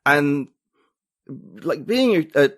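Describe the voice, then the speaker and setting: A man's voice: a little high, expressive, slow. Chatty British man, a writer and guest. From United Kingdom. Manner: chatty, hesitant with many restarts. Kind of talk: casual interview chat.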